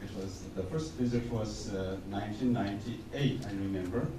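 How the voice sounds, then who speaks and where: An engineer with a somewhat low voice introduces himself, stopping and restarting often. He is distant from the mic in a very echoey hall with clear background noise. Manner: halting, frequent filler pauses and restarts. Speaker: engineer in mining technology. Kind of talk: workshop self-introduction.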